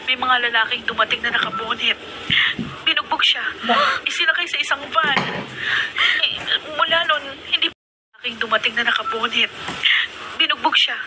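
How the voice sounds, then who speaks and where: An actress playing a caring older sister in a Filipino radio drama, flustered and stammering in alarm, with a Filipino accent. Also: female voice, fairly high pitch, close to an everyday voice. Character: startled, caring older sister of missing man. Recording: very noisy radio drama recording.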